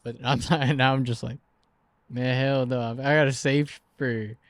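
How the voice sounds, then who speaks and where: A man in his twenties co-hosting a podcast, talking in a relaxed, slangy way and laughing often. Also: mid-range voice, measured pace, no echo. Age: 20s. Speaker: podcast co-host. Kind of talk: casual chat.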